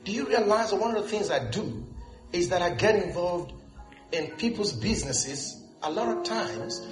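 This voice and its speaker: A man preaching a lively sermon as pastor, with jokes, asides and laughter, in a church. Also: high-pitched, moderate pace. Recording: echoey church with music.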